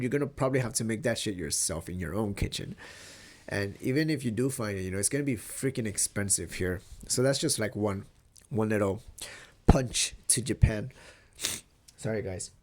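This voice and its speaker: Young man, nasal and congested, slightly low voice, laid-back rambling, casual swearing. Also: moderate pace. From Mexican. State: stuffy nose from a cold. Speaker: vlogger. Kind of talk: casual talking-head vlog.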